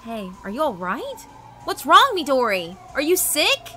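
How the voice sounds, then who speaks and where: A woman voicing an excitable, chatty schoolgirl in a visual novel, in a perky, eager manner. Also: high-pitched, unhurried, a little hiss or hum, voice pushed up, full character voice. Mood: worried. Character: excitable, chatty schoolgirl friends, anime fans. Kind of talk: visual novel character acting. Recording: background music under the voice.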